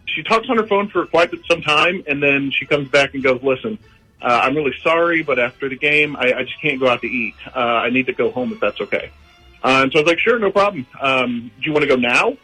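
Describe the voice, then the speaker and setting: A man with an American accent calling a radio show, telling his story casually and haltingly. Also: mid-range voice, sharp tone, breathy edge, poor audio. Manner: hesitant, halting. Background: music bed.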